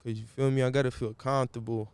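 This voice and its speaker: Laid-back man, smooth voice. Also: mid-range voice, level tone, fast talker, a little mellow, dry recording. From Brazil. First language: Portuguese. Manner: laid-back slangy talk, keeps saying "you feel me". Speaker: guest musician. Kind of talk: casual interview chat.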